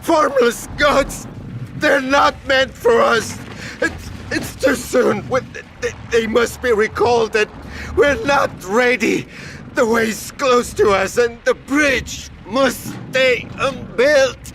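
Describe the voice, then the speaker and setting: An actor playing a dying man in an audio drama, raving in terror with gasping, drawn-out cries. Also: very high-pitched, creaky, fairly bright tone, slight background noise, heavily acted. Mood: frantic terror.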